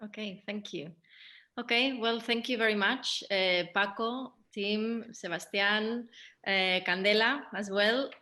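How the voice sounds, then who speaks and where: A woman hosting an unscripted panel discussion, speaking in a hesitant, halting way with frequent pauses. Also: medium pitch, pushed and bright. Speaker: host of a post-show panel, theatre director.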